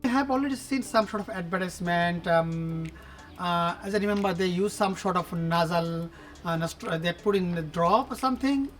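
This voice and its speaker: A man in his forties answering interview questions casually, halting and searching for words with many fillers. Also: high voice, fairly bright tone, faint music behind. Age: 40s.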